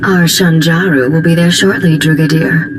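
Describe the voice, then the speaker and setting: A woman's voice: low voice, steady tone, normal speed, loud music behind the voice, close to an everyday voice. An actress playing a breezy, self-assured female starship mechanic in a video game, playful, flirtatious and poised. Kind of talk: video game character acting. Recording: long reverb, faint background noise.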